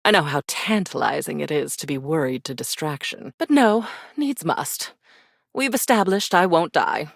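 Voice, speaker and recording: A sardonic, sinister female embodiment of old memories from a video game. Her voice sits low and swings expressively through languid, arch phrases. She is theatrical and mock-sweet with an edge of scorn, recorded very dry. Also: lively intonation, normal speed, creaky, dry recording, exaggerated voice. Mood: resolute, dry. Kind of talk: scripted video game character voice acting.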